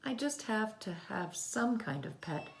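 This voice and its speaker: Woman voicing an eager, excitable young boy, raised pitch. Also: unhurried, vocal fry, a little hiss or hum. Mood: pleading. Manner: exclaiming, pleading.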